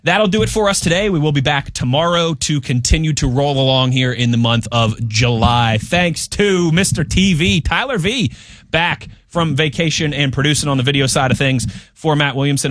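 A man's voice: fairly high pitch, a little fast, a bit bright, a little hiss or hum. An American man hosting a sports radio show with casual, bantering talk.

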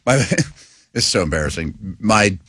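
A radio co-host man telling stories in casual sports talk. His pitch swings widely as he animates his tales. The sound is dry and close. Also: moderate pace, slightly rough, no echo. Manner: animated storyteller.

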